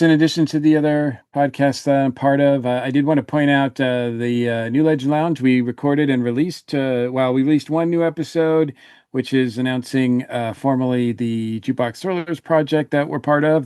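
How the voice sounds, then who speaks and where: Clear-voiced man in a halting podcast chat. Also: mid-range voice, darkish tone, no room sound, clean studio sound. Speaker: podcast regular. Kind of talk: casual chat, promoting projects.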